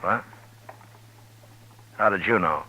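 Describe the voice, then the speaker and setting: An actor playing a steady, stern middle-aged frontier marshal in a 1950s radio drama, calm, firm and measured. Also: male voice, deep voice, full character voice. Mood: probing. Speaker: lead and narrator. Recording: dull old radio broadcast with music.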